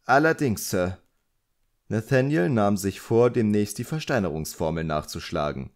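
A man's voice: lowish voice, very animated, normal speed, darkish tone. A man reading scripted literary narration as an audiobook narrator.

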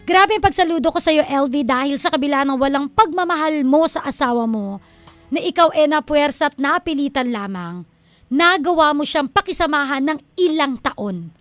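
High-voiced teasing Filipina radio host. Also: female voice, lively intonation, moderate pace, a bit bright, no echo, soft background music. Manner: preachy and teasing, quotes scripture, laughs often. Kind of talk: playful radio advice talk. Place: radio studio.

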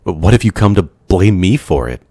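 Very deep, brooding male vampire played by a man. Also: sing-song, brisk, husky, dry recording, studio quality, heavily acted. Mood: distressed, pleading. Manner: hesitant, gentle, old-fashioned courtly phrasing. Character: brooding, lonely, tender male vampire, reluctant and devoted. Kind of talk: ASMR roleplay acting.

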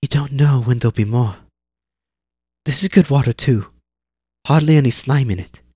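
A man's voice: mid-range voice, normal speed, breathy edge, dry recording, studio quality, pitched above the performer's own voice, lightly acted. A man voicing an eleven-year-old girl laborer, plucky and matter-of-fact. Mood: eager. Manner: bright, eager, talkative.

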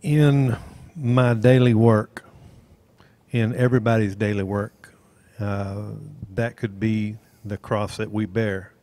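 A man speaking slowly and reverently on a devotional panel and leading a closing prayer into a handheld mic. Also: fairly low pitch, fairly even intonation, warm tone. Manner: slow, measured, reverent. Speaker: church member.

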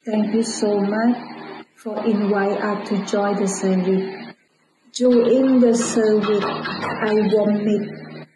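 Woman, from Thailand, slow, flat tone, careful simple English, echoey. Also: mid-range voice. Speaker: prayer testimony giver. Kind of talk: personal testimony. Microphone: video call camera. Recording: echoey room with background noise.

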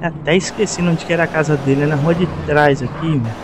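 A man's voice: on the high side, steady tone, quick pace. A Brazilian man giving casual gameplay commentary, slangy and full of tag questions. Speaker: gaming video host. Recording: noisy game and car sounds behind. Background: game traffic ambience.

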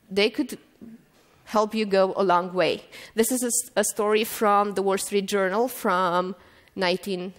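A woman in her thirties speaks into a stand mic on a conference stage, her voice carrying a long reverb through the hall. She tells her stories in a relaxed way, with frequent ums and small restarts. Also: medium pitch. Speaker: keynote speaker. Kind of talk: conference talk. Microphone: stand mic at lectern.

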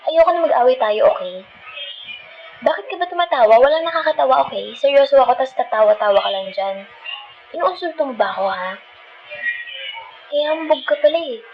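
A woman's voice: sits high, lively intonation, fairly quick, long pauses, lo-fi sound, faint music behind. A woman with a Filipino accent playing a feisty, dramatic but loving girlfriend in an ASMR roleplay, sassy and mixing two languages as she talks. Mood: annoyed. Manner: sassy, scolding, switching between Tagalog and English.

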